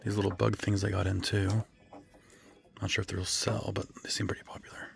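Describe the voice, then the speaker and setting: A man with a hoarse, raspy voice, casually chatting through a reseller vlog, rambling with lots of ums and self-corrections. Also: fairly low pitch, flat delivery, a little fast, soft background music. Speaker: reseller vlogger. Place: his resale booth and home workspace.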